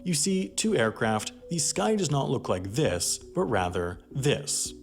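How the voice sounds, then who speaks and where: A man delivers scripted documentary narration with a highly animated pitch that rises and falls through each sentence. His explanatory phrasing is emphatic, and music plays softly behind him. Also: unhurried. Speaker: narrator and host. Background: background music.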